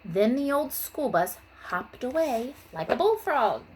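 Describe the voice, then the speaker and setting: A woman's voice: sits high, sing-song, a little slow, a little mellow, husky. A woman teacher giving an informal lesson and story for young children, stressing key terms in an instructional way. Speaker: third grade teacher.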